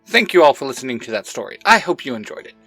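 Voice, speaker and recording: A man hosting his own podcast, reading out credits and giving shout-outs. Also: mid-range voice, sing-song, fast talker, breathy edge, faint music behind. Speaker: podcast creator and host.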